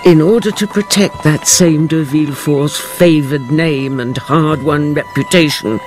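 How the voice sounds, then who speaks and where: A man's voice: a little high, unhurried, natural voice. A man playing an ornate, confiding storyteller, narrating a radio drama theatrically. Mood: grave, relishing. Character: ornate, confiding storyteller addressing honoured friends. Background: music.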